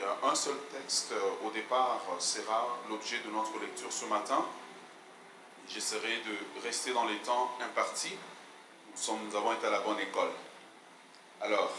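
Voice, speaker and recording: A man in his forties with a mixed Canadian and Belgian French accent, a guest pastor opening his sermon in a measured, hesitant way on a handheld mic. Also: lowish voice. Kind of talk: sermon introduction. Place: large church hall, indoors. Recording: echoey church hall, noisy.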